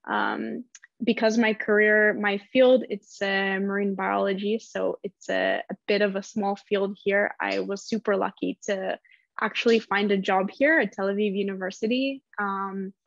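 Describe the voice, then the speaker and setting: A woman's voice: medium pitch, steady tone, a little slow, gravelly, dry recording, highs cut off. A woman from Northern California introducing herself on a panel, chatty, with lots of ums and self-corrections. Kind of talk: personal introduction.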